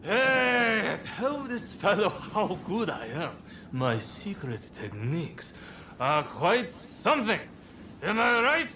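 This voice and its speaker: An actor playing a boastful, swaggering samurai rebel with a bold, theatrical delivery in a video game. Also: male voice, high voice, very animated, slow pace, pushed and bright, a little hiss or hum, exaggerated voice. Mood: tipsy boasting. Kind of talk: video game cutscene acting.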